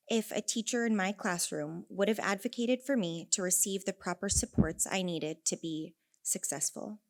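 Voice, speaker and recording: Earnest young Californian woman giving a talk. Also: medium pitch. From Northern California. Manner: earnest storytelling with asides. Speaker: conference speaker, elementary teacher. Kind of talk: prepared speech.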